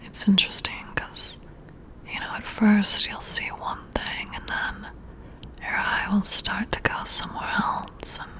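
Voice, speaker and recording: A woman performs a flirty boss in a close-miked ASMR roleplay. Her voice is a breathy whisper with a crackle of fry at the edges. She takes her time, leaving drawn-out pauses and keeping an intimate, intrigued manner. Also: mid-range voice, sharp tone. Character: flirty, intrigued female boss giving a job interview. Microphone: close-up ASMR microphone.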